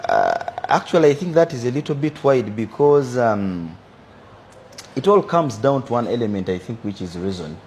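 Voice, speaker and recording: A young Ugandan businessman in his twenties, answering interview questions in a measured, reflective way. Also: mid-range voice, wildly swooping pitch, a little mellow, slight background noise. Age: 20s. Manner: measured, philosophizing, repeats "for me, I believe". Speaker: businessman guest.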